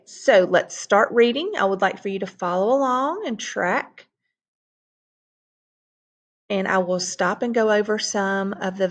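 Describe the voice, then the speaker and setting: A woman teacher reading a folktale aloud to students and stopping to explain words with patient restarts. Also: mid-range voice.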